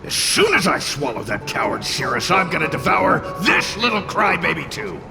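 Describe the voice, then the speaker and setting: A cranky fire demon in a video game, with a highish, gravelly voice that swoops wildly. He is arrogant and blustering, scolding everyone over clearly audible music. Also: wildly swooping pitch, normal speed, exaggerated voice, rough recording. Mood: scornful, threatening. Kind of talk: video game cutscene acting.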